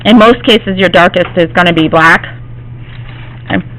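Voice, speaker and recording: Slightly hoarse, reassuring woman art teacher. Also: fairly low pitch, normal speed, fairly bright tone, poor audio. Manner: reassuring, checks in with "okay?". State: slightly hoarse, losing her voice. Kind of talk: classroom demonstration. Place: classroom.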